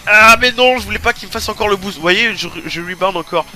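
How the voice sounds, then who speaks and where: Stuffy-nosed guy yelping and cursing through a racing game, loud game music behind. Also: sits high, fast. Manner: excitable, swearing, yelps and laughs while playing. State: stuffy from a cold. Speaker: let's play host. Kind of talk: casual gameplay commentary.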